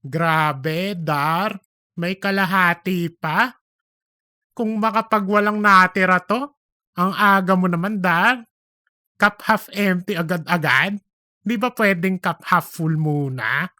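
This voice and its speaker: Teasing office pal played by a woman, comic audiobook; casual, cheeky, mid-pitched, dry close sound. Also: takes it slowly, pushed and bright, no room sound.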